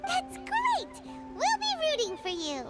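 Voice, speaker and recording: A woman voicing an excitable little floating companion sprite in a video game, with a squeaky, bouncy voice and eager chatter. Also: takes it slowly, exaggerated voice. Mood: cheerful. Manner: excitable chatter, refers to herself by name. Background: game music.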